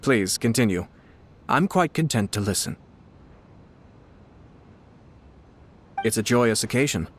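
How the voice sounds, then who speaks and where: An actor playing a composed young noble clan lord in a video game, speaking with formal, smooth, diplomatic courtesy. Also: male voice, fairly low pitch, expressive. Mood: gracious, reassuring. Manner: formal, polite, unhurried courtesy.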